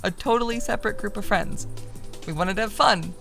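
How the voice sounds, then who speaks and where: A woman's voice: mid-range voice, creaky, a bit bright, faint music behind, pitched above the performer's own voice. Woman playing a guarded, embarrassed woman lawyer. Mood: embarrassed, evasive. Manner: halting, defensive. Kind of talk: visual novel character voice.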